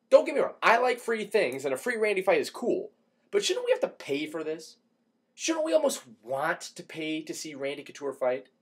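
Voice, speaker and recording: A man giving a dramatic, emphatic reading of an opinion column, shifting between hushed lines and punchy rhetorical questions. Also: high-pitched, breathy edge. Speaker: radio show host reading an opinion column.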